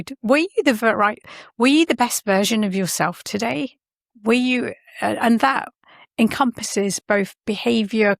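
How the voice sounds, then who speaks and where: Gravelly-voiced reflective woman guest. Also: mid-range voice, dry recording, studio quality. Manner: thoughtful, earnest, often restarts and self-corrects. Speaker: podcast guest, science and healthcare leader. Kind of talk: reflective interview conversation.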